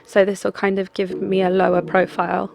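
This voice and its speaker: A young adult doll artist talking through their craft in a relaxed, chatty way, with plenty of ums and restarts. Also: female voice, mid-range voice, fairly quick, creaky. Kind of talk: casual vlog talk and craft voice-over. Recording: music bed under the voice.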